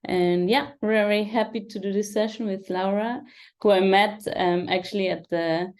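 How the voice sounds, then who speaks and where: A woman's voice: medium pitch, fairly even intonation, moderate pace, darkish tone. Hesitant woman introducing a session. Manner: hesitant, many ums. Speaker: PhD candidate, session organizer. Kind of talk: conference presentation. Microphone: computer mic on video call.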